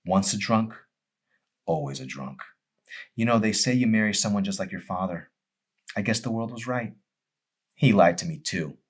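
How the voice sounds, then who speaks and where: A man's voice: fairly low pitch, animated, moderate pace, long pauses, breathy edge. A man voicing a bitter, fed-up wife in an audiobook, cutting, sarcastic and emphatic. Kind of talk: dramatic audiobook character acting.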